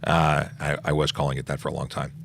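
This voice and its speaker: A middle-aged policeman with a low, deep voice hosts a casual live Q&A. He reads out questions and answers them off the cuff, with restarts and fillers, while a faint hiss sits under the recording. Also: monotone, fast, gravelly, a bit bright. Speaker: police officer hosting a Q&A livestream. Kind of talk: casual livestream Q&A.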